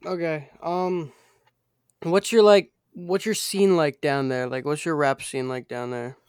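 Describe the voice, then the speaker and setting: Young host with a smooth, dry voice. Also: male voice, on the high side, fairly even intonation, unhurried, darkish tone, dry recording. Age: young, teen or younger. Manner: hesitant, many fillers and restarts. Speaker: podcast host. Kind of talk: casual podcast interview.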